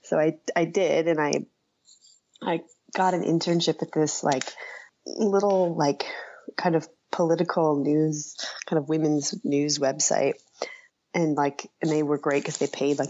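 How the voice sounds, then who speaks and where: A woman's voice: low-pitched, steady tone, moderate pace, gravelly, darkish tone, highs cut off. An American woman being interviewed as a writer, talking casually and hesitantly, repeating words as she thinks. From Iowa, United States. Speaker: interview guest, writer and journalist.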